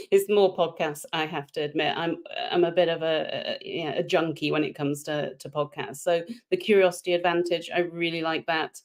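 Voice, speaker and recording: A woman's voice: deep voice, steady tone, normal speed. A woman who grew up in the UK, a guest on a remote call, chatting casually with self-deprecating humor and frequent repeated words. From United Kingdom. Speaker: podcast guest, organizational psychologist. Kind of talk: casual interview chat.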